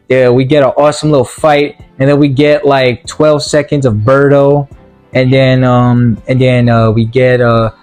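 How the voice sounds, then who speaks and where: Halting, sarcastic man over music. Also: a little high, a little mellow. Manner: halting, stammering delivery with repeated words; sarcastic, mock-earnest. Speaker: video reviewer. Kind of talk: casual, rambling movie review commentary.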